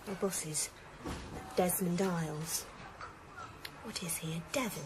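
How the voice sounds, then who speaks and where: An actress in a radio drama playing a concerned woman who pleads gently but challenges too. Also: lowish voice, slow pace. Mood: pleading, reproachful. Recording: noisy background ambience.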